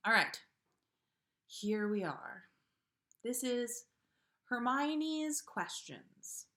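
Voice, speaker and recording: Expressive woman, informal storyteller. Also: a little high, drawn-out words. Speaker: podcast host reading listener stories. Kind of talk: casual livestream chat, then storybook read-aloud.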